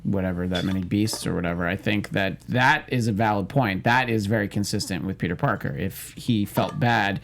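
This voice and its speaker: A man's voice: lowish voice, moderate pace, vocal fry, few pauses, a bit bright, a little hiss or hum. A man chatting casually as a panelist, giving opinions in a wry, quippy way. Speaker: panelist on a movie news show.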